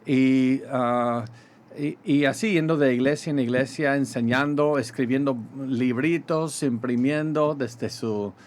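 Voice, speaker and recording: A middle-aged man speaks with an American accent softened by Mexican Spanish. He talks as a visiting pastor on a radio show, pausing with fillers and restarting phrases. His voice sits at an ordinary pitch over a faint hiss. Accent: American with Mexican Spanish touches. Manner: halting, many fillers and restarts. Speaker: pastor, ministry guest.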